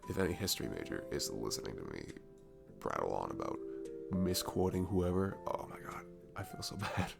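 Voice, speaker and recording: A man playing a sweet, goofy, comforting barista in an intimate ASMR roleplay, speaking softly and haltingly with a faint Southern US accent. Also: low voice, sing-song, creaky, over music, full character voice. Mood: goofy, self-deprecating. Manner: soft, halting, chuckling, drifting into near-whispers. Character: cute, goofy, comforting young male barista, sweet and self-deprecating.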